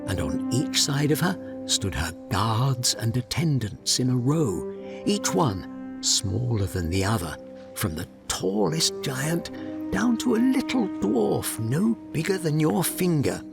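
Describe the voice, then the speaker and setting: A man's voice: medium pitch, big pitch swings, slow, vocal fry, music in the background. A man narrating a scripted fairy tale as a storyteller.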